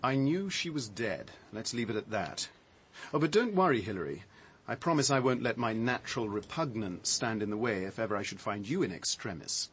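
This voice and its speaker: An actor playing a shaken, defensive man with a halting, reflective, dry delivery in a radio drama. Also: lowish voice, animated, a bit bright, slightly rough. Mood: defensive, sardonic. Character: shaken man recounting his discovery, defensive, sardonic.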